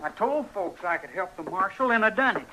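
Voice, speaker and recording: A man's voice: sits high, animated, brisk, bright, forceful tone. A male actor playing a cocky, eager frontier boy in an old radio western, with a rustic American accent. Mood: matter-of-fact. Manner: rustic boyish bragging. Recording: noisy old radio recording.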